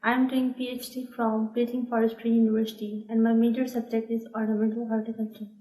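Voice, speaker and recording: A woman's voice: on the high side, little pitch movement, fairly quick, dark tone. A woman from Pakistan, a doctoral student, explaining terms in a textbook-like way. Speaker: PhD student. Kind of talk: scripted explanation. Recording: echoey room.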